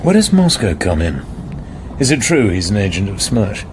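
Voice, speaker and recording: A man's voice: sing-song, normal speed. A man playing a cool, guarded secret agent in a radio drama, speaking in a terse, controlled way. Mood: cool, probing. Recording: noisy radio drama, train rumble behind.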